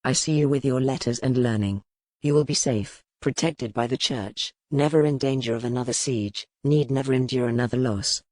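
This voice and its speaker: A man playing a war-weary, reserved medieval duke in a historical romance audiobook, with measured, formal speech. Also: medium pitch, darkish tone, no echo, lightly acted. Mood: calm, reserved.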